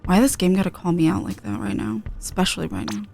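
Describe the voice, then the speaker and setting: A woman's voice: fairly low pitch, fast, creaky, darkish tone, slight background noise. A woman giving casual, informal, self-deprecating commentary as she plays a video game. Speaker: gaming video creator.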